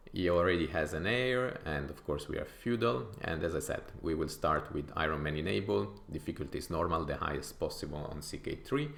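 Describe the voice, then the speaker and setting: Very deep gravelly Italian-accented man. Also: a bit bright. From Sicily, Italy. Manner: methodical, explanatory, with fillers. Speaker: gaming YouTuber. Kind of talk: casual gameplay commentary. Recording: echoey room with faint noise.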